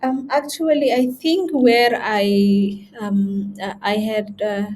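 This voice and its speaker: A young woman, a guest in an interview, talking in a halting way with many fillers and restarts. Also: mid-range voice, steady tone, a little mellow. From Somali region. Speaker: guest, chief editor. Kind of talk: interview conversation.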